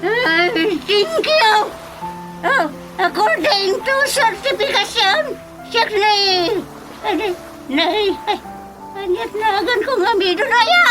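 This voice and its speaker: A woman's voice: very high voice, animated, slow, heavily acted, poor audio. A woman playing a dotty, childlike old grandmother in a radio comedy, talking in a whimpering, wheedling way. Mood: plaintive, childlike. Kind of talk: broad radio drama comedy acting. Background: music.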